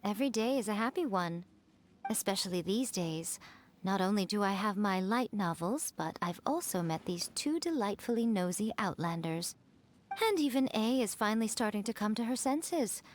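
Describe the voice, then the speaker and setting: A woman's voice: medium pitch, animated, moderate pace, slight background noise, natural voice. A woman voicing a sly, elegant fox shrine priestess in a video game, playful, teasing and self-assured. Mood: breezy, fond. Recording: music behind the voice.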